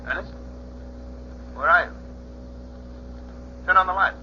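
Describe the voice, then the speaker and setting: An actor playing a nervous, guilty hotel clerk in a 1940s radio crime drama, with a flustered, hesitant delivery. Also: male voice, high-pitched, takes it slowly. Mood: tense, desperate. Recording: muffled, hissy 1940s radio recording.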